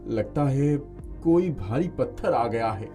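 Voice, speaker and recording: A man voicing a poor, good-natured village farmer in an earnest, expressive storybook delivery. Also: mid-range voice, slow pace, soft background music. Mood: puzzled.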